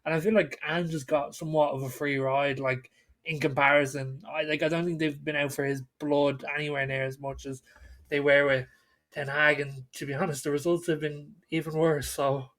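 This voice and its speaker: A man with a fairly high voice shares casual football opinions as a podcast co-host. He is blunt and states his views plainly. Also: flat delivery, normal speed, a bit bright.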